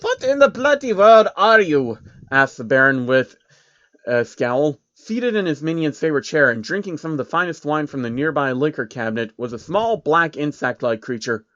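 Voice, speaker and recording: A man's voice: medium pitch, animated, moderate pace, pushed and bright, no echo, highs cut off. A man reading fan fiction aloud as an amateur story narrator.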